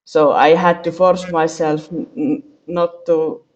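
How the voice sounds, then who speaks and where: Slow, halting man. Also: a little high, steady tone, muffled recording. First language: Finnish. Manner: hesitant, halting, with many fillers and restarts. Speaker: podcast co-host. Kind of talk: casual group chat.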